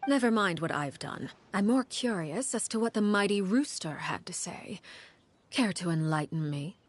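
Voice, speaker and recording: An actress playing a calculating woman of authority in a video game, speaking in a measured, formal, guarded way with dry wit. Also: mid-range voice, expressive, natural voice. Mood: cool, wry. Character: cool, calculating, commanding adult woman of authority. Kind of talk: anime-style video game voice acting. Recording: music faintly behind the voice.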